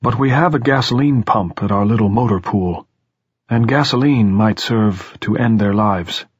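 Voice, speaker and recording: A man voicing an elderly headmaster who is courtly, grave and resolute, with formal, pedantic, measured diction in an audiobook. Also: on the low side, husky, dry recording, dull audio.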